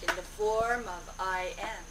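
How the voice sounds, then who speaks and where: A woman delivering a spiritual discourse in deliberate, declarative short phrases, as a speaker relaying a teaching aloud. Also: medium pitch, fairly even intonation. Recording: distant mic, noisy amateur video.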